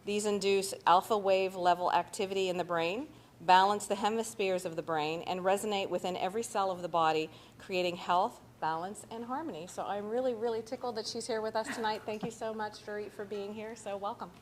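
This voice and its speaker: A woman's voice: mid-range voice, fairly even intonation, fairly quick. A woman hosting an arts center event, reading a speaker's introduction from notes and paraphrasing with hesitations. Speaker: host. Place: arts center event, live audience and stream.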